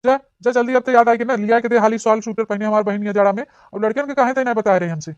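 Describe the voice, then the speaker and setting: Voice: mid-range voice, very fast, clean tone, no room sound, muffled recording, lightly acted. A cartoon voice playing an indignant, hot-tempered village husband and elder brother, scolding and questioning in an Awadhi accent from eastern Uttar Pradesh. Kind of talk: cartoon comedy dialogue.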